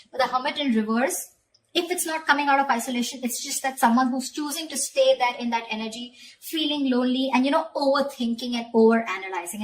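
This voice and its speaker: A woman's voice: a little high, a little hiss or hum, rough recording. A woman giving a conversational tarot reading straight to the viewer, often repeating phrases and checking in with short tag questions. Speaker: tarot reader.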